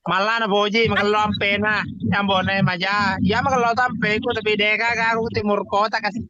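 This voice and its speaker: Flat, bright, high-pitched man. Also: normal speed. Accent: Bugis Indonesian. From South Sulawesi, Indonesia. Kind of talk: casual live-stream chat. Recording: noisy, dull lo-fi audio.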